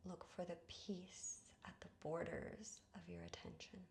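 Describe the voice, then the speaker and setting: Slow, hushed woman guiding meditation. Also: on the low side, level tone, in a whisper. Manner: soothing, hushed, breathy phrase endings, long pauses. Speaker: yoga nidra guide. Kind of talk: guided meditation narration.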